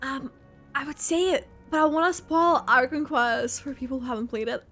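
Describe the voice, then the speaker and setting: A woman gaming streamer giving casual, expressive and giggly reaction commentary. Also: high-pitched, normal speed, faint music behind.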